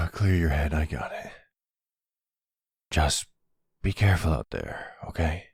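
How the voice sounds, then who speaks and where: A man's voice: extremely low pitch, animated, takes it slowly, gravelly, breathy edge, dry recording, studio quality, full character voice. A man playing a devoted older mafia man in a boyfriend roleplay, speaking in a tender, protective way. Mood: gentle concern. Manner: tender, reassuring.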